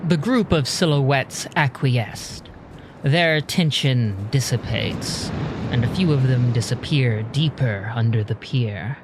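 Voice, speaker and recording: A man narrating a scripted audio drama in a measured, descriptive manner. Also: mid-range voice, background noise. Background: music.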